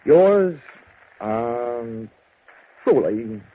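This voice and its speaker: A man's voice: medium pitch, extremely slow. An actor playing a wry, breezy male insurance investigator, narrating in 1940s radio detective style. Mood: dry, jokey. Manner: wry, deadpan humour. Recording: dull old radio recording with music.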